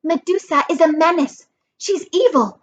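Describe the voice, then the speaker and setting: Woman voicing a high, urgent, bossy girl. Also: moderate pace, dull audio, slight background noise. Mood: urgent, insistent. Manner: urgent, insistent, matter-of-fact. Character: bossy, determined brainy girl in a fantasy story.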